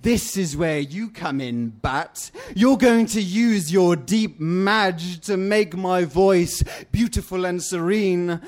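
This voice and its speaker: Storybook villain, male reader: giant duck queen, scratchy and rumbling, grandiose, bossy and menacing, unhurried. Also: a little mellow. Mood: demanding. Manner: grandiose, commanding rhymed speech. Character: huge imperious female duck tyrant, boastful and menacing.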